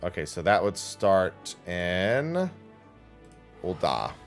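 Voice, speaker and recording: Animated, lower-voiced man streaming a game. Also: takes it slowly, soft background music. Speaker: livestream gamer and host. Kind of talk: casual gameplay livestream commentary.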